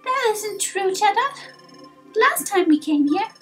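A woman voicing a childlike, emotional creature guildmaster in a video game, speaking slowly and earnestly. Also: very high voice, very animated, a bit bright, soft background music, heavily acted. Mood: earnest, grateful.

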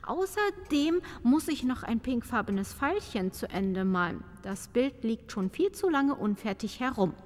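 Woman acting a haughty radio-play countess, refined, theatrical, slow-paced, lively melody, formal diction. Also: on the high side. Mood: weary. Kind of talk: comedic audio drama acting. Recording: long hall reverb.

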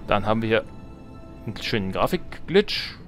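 A man's voice: fairly low pitch, very animated, moderate pace. A young adult man doing casual let's play commentary, chatty and thinking aloud as he goes. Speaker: let's player. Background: game music.

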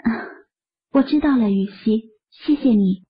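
A woman voicing a proud but eager young woman in an audio drama, talking brightly and quickly. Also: on the high side, breathy edge. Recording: muffled phone-like audio.

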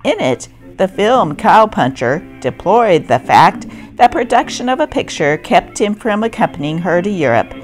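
A woman's voice: medium pitch, big pitch swings, moderate pace, few pauses, soft background music. A woman narrator reading old newspaper stories aloud in a theatrical, scripted style.

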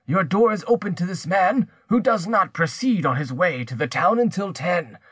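A vengeful older uncle out of a storybook melodrama acted out by a male narrator. His voice is pushed up high and swings widely as he threatens and accuses with vehement menace. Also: animated, normal speed, a bit bright, husky, no echo, heavily acted. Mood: menacing.

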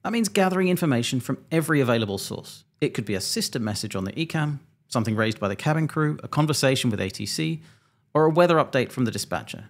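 Guy with a creaky voice doing a pilot explainer, measured and a bit dry, talking close on a desk mic. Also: mid-range voice, animated. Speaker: airline pilot and presenter. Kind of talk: scripted explainer narration to camera. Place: small home studio indoors. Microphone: close desk microphone.

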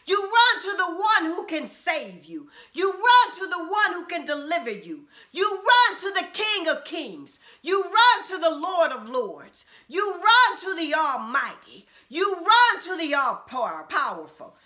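A middle-aged woman pastor preaching a sermon from a small room at home, with impassioned repeated refrains and drawn-out emphasis. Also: extremely high pitch, very animated, bright, forceful tone.

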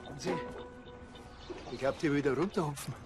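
An actor playing a dry, laconic Bavarian policeman in a TV crime comedy, colloquial and deadpan. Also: a little high, a little fast, long reverb tail, loud music behind the voice, lightly acted. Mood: sceptical.

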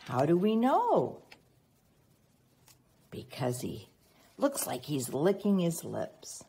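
A woman reading a picture book aloud expressively to young children, prompting them with teacherly questions and pauses. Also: on the low side, wildly swooping pitch, vocal fry, warm tone, a little hiss or hum. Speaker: children's read-aloud reader.

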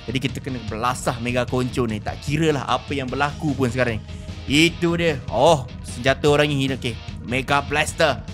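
A young Malaysian man hosts a gaming video in a bright, punchy voice. He comments playfully and exclaims often, doubling up words as he roleplays along. Faint music plays behind him. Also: mid-range voice, a little fast. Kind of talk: excited roleplay game commentary.

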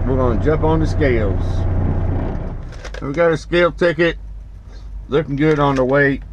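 A middle-aged man, a truck driver, talking to the camera from his cab in an easygoing, plainspoken, rambling way. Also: mid-range voice. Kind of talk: casual vlog narration. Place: inside a truck cab and outdoors beside it. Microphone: action camera mounted in the cab. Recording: dull camera audio with road noise.

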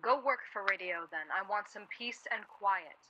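An actress playing a sharp-tongued, worried young wife in a 1940s radio play, snippy and sardonic. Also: female voice, mid-range voice, moderate pace, dull audio, a little hiss or hum, natural voice. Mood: weary, irritated.

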